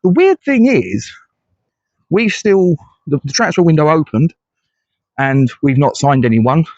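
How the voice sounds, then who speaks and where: A man's voice: a little high, big pitch swings, measured pace, a little mellow, no echo, muffled recording. A middle-aged man from England giving blunt, conversational solo commentary as a fan channel host, with frequent hesitant restarts.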